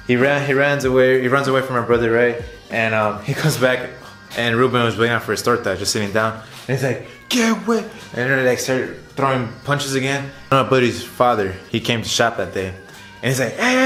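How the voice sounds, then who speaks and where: A young man telling a casual story to viewers as a vlogger, in an animated, chatty way. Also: mid-range voice. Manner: animated retelling, chuckles often, addresses viewers as guys. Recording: roomy echo, music underneath.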